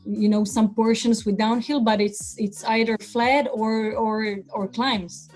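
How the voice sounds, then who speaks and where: A woman's voice: a little high, a little slow, soft background music, low-quality recording. Croatian-born woman, chatty interview guest. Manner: relaxed, many fillers and restarts. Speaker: podcast guest, athlete. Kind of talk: casual interview chat.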